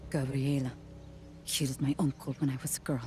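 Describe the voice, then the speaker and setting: A woman's voice: extremely low pitch, level tone, brisk, a little mellow, soft background music. A woman playing a resolute, defiant young rebel in a video game, speaking directly and earnestly. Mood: urgent, defiant. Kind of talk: video game cutscene acting.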